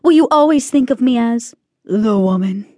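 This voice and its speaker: A clever, flirtatious adventuress of comic radio drama. Her fairly high voice moves freely up and down as she teases with coy poise. It is recorded dry and close. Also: lively intonation, normal speed, dark tone, no room sound. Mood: coy, teasing.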